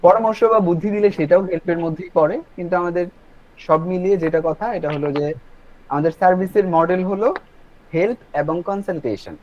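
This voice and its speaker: A man's voice: high voice, fairly quick, darkish tone. A man hosting an online webinar, explaining things instructively and addressing listeners directly, in lo-fi call audio. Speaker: webinar host and presenter. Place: online video meeting.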